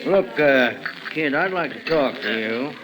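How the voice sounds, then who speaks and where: A man's voice: medium pitch, animated, measured pace, a bit bright. A man with an American accent playing a folksy, persuasive former small-town police chief in a 1940s radio drama. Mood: tentative. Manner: folksy, persuasive, hesitant lead-ins. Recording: faintly noisy 1940s radio recording.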